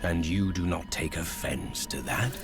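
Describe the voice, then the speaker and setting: A stern warrior hero in a dark fantasy game. His voice is extremely deep and gravelly, formal and commanding, with loud music behind it. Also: measured pace. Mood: stern questioning. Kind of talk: video game character dialogue.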